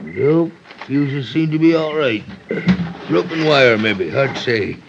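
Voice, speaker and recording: Edgy rural American motel man, vintage radio drama: folksy, stammering, evasive, some fry, muffled old recording. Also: mid-range voice, animated, slow, noisy background. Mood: wary. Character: nervous, evasive rural Western man running roadside cabins. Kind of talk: 1950s radio drama acting.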